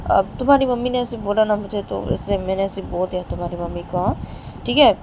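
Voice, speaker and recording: A woman's voice: medium pitch, fast talker, close to an everyday voice. A woman playing a scolding father, noisy audio. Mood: defensive. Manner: scolding, repeated questions. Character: angry father scolding his wife. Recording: noisy recording.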